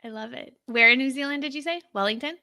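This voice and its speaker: Female live-stream host, bright and forceful, slightly high, enthusiastic and gushing with her guests. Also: quick pace. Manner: excitable, gushing. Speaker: host interviewing class members.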